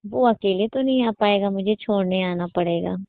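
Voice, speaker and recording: A woman's voice: mid-range voice, moderate pace, no room sound. A young woman, calling in casual conversation as a parent. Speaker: caller, parent seeking a tutor. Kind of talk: casual chat. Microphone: phone call. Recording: dry, slightly tinny phone call recording.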